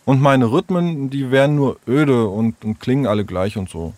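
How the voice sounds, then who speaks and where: A man's voice: medium pitch, normal speed, darkish tone, dry recording, voice pushed up, close to an everyday voice. A man voicing a hurt, self-doubting schoolboy in a children's story, hesitant and subdued.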